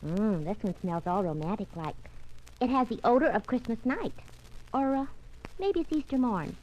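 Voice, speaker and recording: A woman's voice: on the high side, expressive, moderate pace, a little mellow, lightly acted. An actress playing a perky, teasing, scatterbrained secretary in a 1940s radio drama, playful and dreamy in her delivery. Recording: muffled vintage 1940s radio recording.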